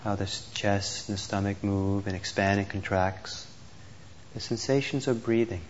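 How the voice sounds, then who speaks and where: A man teaching meditation, gently and unhurriedly guiding a group with pauses between instructions. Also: on the low side, steady tone. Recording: dull lo-fi audio with clear background noise.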